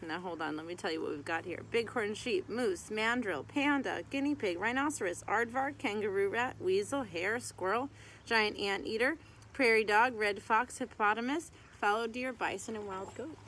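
A woman's voice: medium pitch, fairly bright tone, low-quality recording. Woman reading aloud outdoors, some background noise. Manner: deliberate, phrase-by-phrase reading with short asides. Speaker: teacher reading a picture book aloud to children. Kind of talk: read-aloud of a children's picture book. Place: outdoors in a garden beside a tree.

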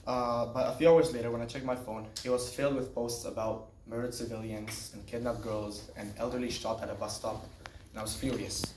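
A man's voice: medium pitch, little pitch movement, a little fast, darkish tone. Young guy from israel doing a prepared student speech in a classroom, echoey, mic kinda far away. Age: teenager to young adult. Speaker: student speaker. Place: small classroom, indoors. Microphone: camera on a desk, several metres off. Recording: roomy, distant mic, faint noise.

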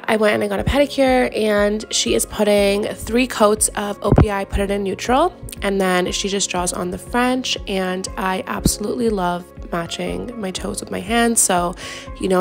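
A young woman vlogger chatting casually to camera with a stuffy, congested, raspy voice, gushing and filling pauses with "like" and "yeah". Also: mid-range voice, steady tone, faint music behind. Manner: bubbly, gushing, many fillers like "yeah" and "like". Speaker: lifestyle vlogger.

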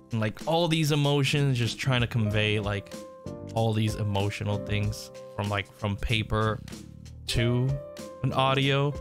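A man speaks as the host of an audio roleplay channel. His delivery is casual and chatty, with emphatic repeated words and a medium pitch. Soft music sits behind him.

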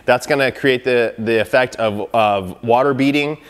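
A man's voice: medium pitch, moderate pace, clear, resonant tone. A man in his thirties, a professional car detailer, casually explaining a product test in his shop with frequent fillers and restarts.

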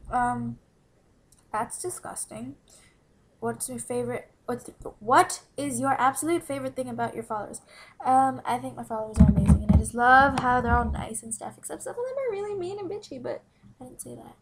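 A giggly teenage girl with a fairly high voice talks straight into a close webcam in a small room. She answers questions in a bubbly, playful way, often dropping to a whisper. A faint hiss of background noise sits under her voice. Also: brisk. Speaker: vlogger answering follower questions. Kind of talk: casual Q&A vlog. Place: small room indoors by a window. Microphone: webcam from below at close range.

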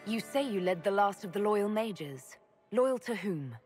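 A woman's voice: medium pitch, lively intonation, a little slow, a little mellow, soft background music, lightly acted. An actress playing a composed, diplomatic female elf mage in a fantasy game, formal and direct. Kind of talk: restrained video game dialogue.

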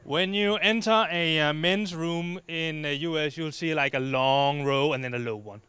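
A Danish man in his thirties giving a lively, joking stage talk into a handheld mic. His voice is bright and crisp, pitched a little high for a man. The sound is dry and close. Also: normal speed, no room sound. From Denmark. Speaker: speaker. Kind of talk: short comic talk on stage. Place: dark stage before an audience.

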